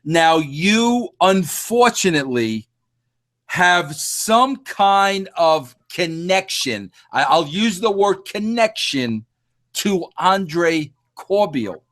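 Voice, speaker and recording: Man, podcast host, slightly raised pitch, repeats himself, teasing, casual. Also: sing-song, very slow, a bit bright. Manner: repeats words, stammering restarts, playful teasing. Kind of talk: casual interview chat.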